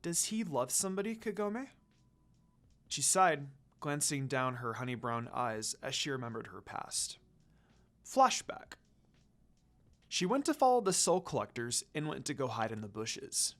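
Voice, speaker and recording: A woman reading fan fiction aloud as an expressive storyteller. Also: very deep voice, measured pace.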